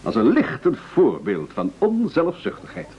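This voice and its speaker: An actor playing a bossy, smooth-talking ringleader in an absurdist radio play, politely flattering and coaxing his victim in a patronising way. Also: a little high, normal speed, heavily acted. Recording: muffled radio recording with faint noise.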